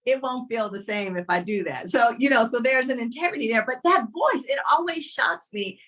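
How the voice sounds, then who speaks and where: A woman hosting a podcast interview, encouraging and expressive, now and then slipping into playful put-on voices. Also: a little high, brisk. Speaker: podcast host.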